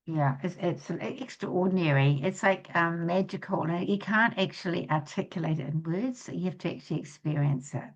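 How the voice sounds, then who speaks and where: A woman's voice: lowish voice, normal speed, few pauses, poor audio. A woman hosting a podcast interview, speaking enthusiastically and affirming her guest. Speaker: podcast host.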